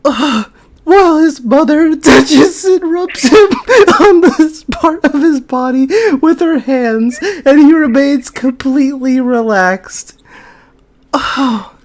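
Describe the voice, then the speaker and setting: Slow, lively, incredulous young fanfiction reader. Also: high voice. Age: 20s. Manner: giggly, incredulous, breaking character. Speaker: host of a comedic dramatic fanfiction reading. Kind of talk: dramatic reading with amused commentary.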